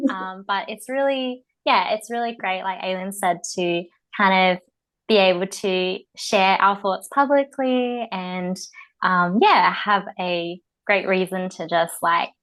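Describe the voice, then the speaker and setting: A woman's voice: medium pitch, takes it slowly, a bit bright. A woman in her twenties with an Australian accent, a podcast guest chatting casually with lots of "um" and "like" and easy giggles. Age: 20s. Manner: chatty, many fillers, giggly. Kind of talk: casual chat.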